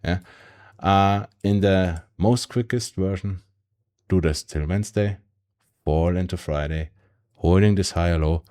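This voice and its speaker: A man with a German accent and a very low voice walks through price charts in a relaxed, conversational way. He speaks English as a second language, with frequent ums and a habit of ending points with a questioning "yeah?". He sounds like a regular host explaining his method to viewers. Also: a little slow, clear, resonant tone. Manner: tag questions and fillers, yeah, um. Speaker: stock market YouTuber. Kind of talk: casual screen-share market analysis.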